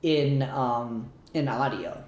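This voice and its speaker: A man's voice: medium pitch, slow, a little mellow. A man hosting a casual, critical discussion, informal and hesitant, restarting his thoughts as he goes. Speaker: podcast host. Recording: roomy, echoey home recording.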